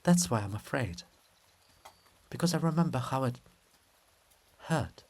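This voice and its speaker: Casting: male reader as grieving ancient statue queen, slow lamenting stage delivery, swinging pitch. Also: expressive, a little mellow. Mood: bitter grief. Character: anguished old woman, grieving statue of a queen. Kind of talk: dramatic reading of a stage play.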